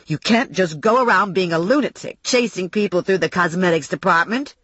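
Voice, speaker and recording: Woman voicing an indignant, husky female rival. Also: fairly low pitch, brisk, a bit bright, dry recording, lo-fi sound. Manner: accusing, scornful. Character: indignant, spiteful woman, shrill rival of the heroine.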